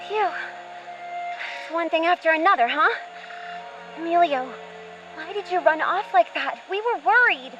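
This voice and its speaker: A woman's voice: very high voice, expressive, measured pace, long pauses, sharp tone, highs cut off, soft background music, heavily acted. A woman voicing a plucky, protective young girl in an audio drama, earnest and eager in her delivery. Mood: concerned.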